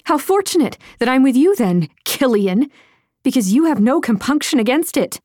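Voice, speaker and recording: A woman's voice: on the high side, lively intonation, moderate pace, darkish tone, dry recording, clean studio sound, pitched above the performer's own voice. A woman voicing a stubborn, fiery young woman healer in an audiobook, with a defiant, strident manner. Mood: indignant. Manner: indignant and strident.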